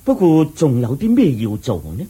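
A man voicing an earnest official in a radio drama, asking a teacher questions deferentially in a husky voice. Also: a little high, very animated, normal speed, warm tone, exaggerated voice. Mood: inquisitive. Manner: deferential, inquisitive. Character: earnest, respectful male official of a small kingdom.

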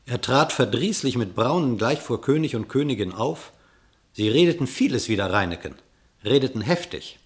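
A man narrating an epic verse fable for a radio play in an expressive, literary storytelling manner. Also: mid-range voice, moderate pace.

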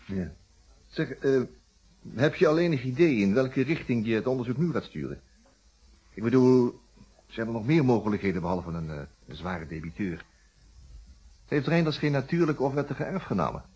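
Very husky, inquisitive man. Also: medium pitch, sing-song, moderate pace, pauses often, darkish tone. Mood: curious. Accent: Netherlands Dutch. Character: inquisitive male friend and confidant of inspector. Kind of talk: Dutch radio detective play acting. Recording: dull, muffled radio drama recording.

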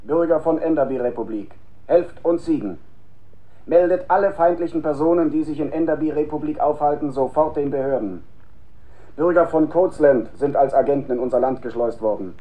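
An actor playing a solemn state announcer who reads formal, declamatory wartime bulletins in a radio play. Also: male voice, a little high, brisk, phone-quality sound, lightly acted. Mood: solemn, exhorting.

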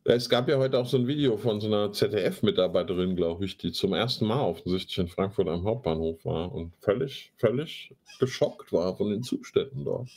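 Man, low voice, sarcastic, slangy, talk show host, casual commentary. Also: normal speed, hardly any pauses, a little mellow. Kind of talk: casual commentary with mocking read-aloud.

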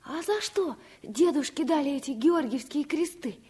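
An actress playing a curious, impressionable young girl in a radio play, asking questions in an earnest, hushed way. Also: high voice, expressive, normal speed. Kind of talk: Soviet radio play acting.

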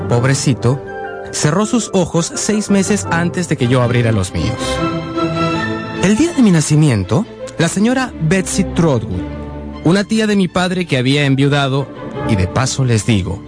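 An actor playing a young man narrating his own life story in an expressive radio drama style. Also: mid-range voice, close to an everyday voice.

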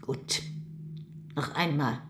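A woman's voice: takes it slowly, background noise, close to an everyday voice. A woman playing a hardened older mother in a radio crime drama, speaking with cold, reproachful severity. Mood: bitter, self-pitying.

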